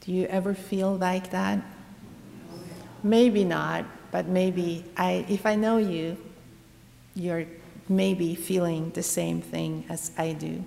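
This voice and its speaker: A middle-aged woman from Norway giving a gentle devotional talk, telling stories with wry humor. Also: fairly low pitch, steady tone, takes it slowly, vocal fry, long reverb tail. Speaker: guest speaker.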